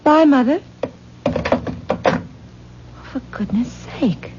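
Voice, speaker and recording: An actress playing a wistful, worried wife in a 1970s radio drama, guarded and wry yet tender. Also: female voice, sits high, expressive, normal speed. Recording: muffled, noisy 1970s radio broadcast.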